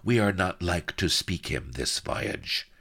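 A man acts out a spiteful woman from a swashbuckling storybook. Her voice is kept low. She sneers and taunts her rival. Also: expressive, takes it slowly, gravelly, fairly bright tone, slightly rough, exaggerated voice. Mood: sneering, spiteful.

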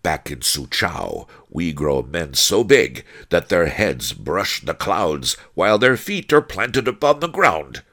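Storybook heavy, voiced by a male narrator: blustering thug, boastful and roaring, lively swoops in pitch. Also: slow pace, few pauses, heavily acted. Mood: belligerent boasting.

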